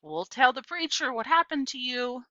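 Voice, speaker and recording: A goading boy of nine or ten from a storybook, put on by a woman reader. He jeers and taunts in a bright, sharp tone with lively pitch. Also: moderate pace, no echo, highs cut off. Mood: jeering. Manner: jeering, goading. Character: taunting bald young boys, about nine and ten.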